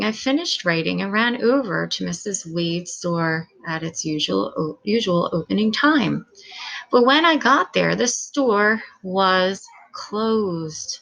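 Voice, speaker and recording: A woman's voice: medium pitch, fairly bright tone, low-quality recording. A woman reading a children's story aloud as scripted narration.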